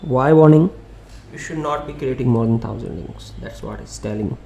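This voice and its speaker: Man walking through SEO software. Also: mid-range voice, moderate pace, gravelly, slight background noise. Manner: loose, conversational explaining with fillers and restarts. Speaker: SEO tutorial presenter. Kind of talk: screen-share software walkthrough.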